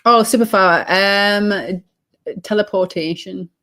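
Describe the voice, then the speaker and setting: A British woman, slightly hoarse, chatting as an interview guest in a reflective, rambling way with many ums and restarts. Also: mid-range voice, takes it slowly, fairly bright tone. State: slightly hoarse, losing her voice. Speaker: guest, holistic healing coach. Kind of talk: casual interview chat.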